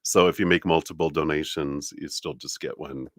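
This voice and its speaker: A low-pitched man with a congested, nasal sound talks to viewers in a friendly, meandering way, full of ums and uhs. The recording is dry and close, with no room around it. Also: steady tone, normal speed, no room sound. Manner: gentle, friendly, meandering with many fillers. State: congested, nasal from a stuffy nose. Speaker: tarot reader hosting a live stream. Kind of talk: casual live-stream talk to viewers.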